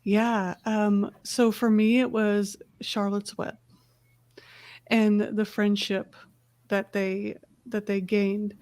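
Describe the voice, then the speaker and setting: A woman's voice: medium pitch, flat delivery, takes it slowly, darkish tone, dry recording. A woman author on a panel, earnestly reflecting on a book that shaped her childhood. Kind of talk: panel discussion answer.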